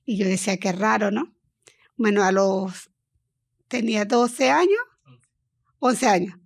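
A middle-aged woman with a Venezuelan accent, telling her story as a guest in an interview, halting and restarting often. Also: mid-range voice, dry recording, studio quality. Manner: hesitant, halting, with many restarts.

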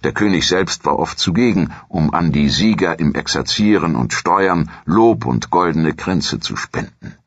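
A man narrating a historical audio documentary from a script in a measured, formal storytelling manner. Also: low-pitched, expressive, breathy edge, no echo, highs cut off.

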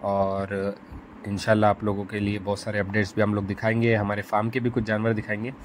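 A man hosting his own farm channel, narrating a casual voice-over in a relaxed way, often pausing with fillers. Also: on the low side, slight background noise. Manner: relaxed, hesitant with frequent fillers. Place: home studio, recorded separately as voice-over.

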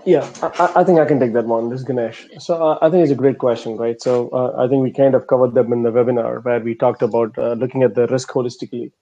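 A man's voice: medium pitch, steady tone, fast talker, hardly any pauses, dark tone, lo-fi sound. A man answering as a panelist in a webinar over a video call, laying out numbered points with many "uh"s. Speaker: panelist, software specialist.

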